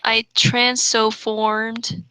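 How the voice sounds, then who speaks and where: A young woman reading bad fan fiction aloud for comedy, deliberately, with casual banter in between. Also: a little high, flat delivery, pushed and bright, clean tone, low-quality recording. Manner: deliberate, stumbles over misspellings read as written. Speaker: host and reader.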